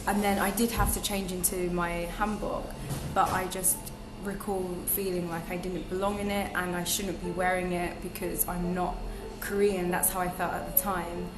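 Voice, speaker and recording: A woman's voice: fairly low pitch, flat delivery, moderate pace, few pauses. A young woman talking as a podcast guest, reflective and chatty, with many ums and restarts. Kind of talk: casual interview chat. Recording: echoey room with music behind.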